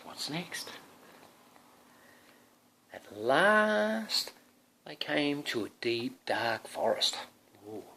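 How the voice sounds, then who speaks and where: A man's voice: medium pitch, big pitch swings, extremely slow, pauses often, sharp tone, low-quality recording. A man, a father, reading a picture book aloud to his young son, drawing out words expressively and adding chatty asides that prompt the child.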